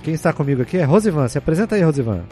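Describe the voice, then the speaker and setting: A man's voice: on the high side, sing-song, rapid-fire, mellow. A man with a Brazilian accent, hosting a podcast and narrating a scripted story as a nursing professor. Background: music.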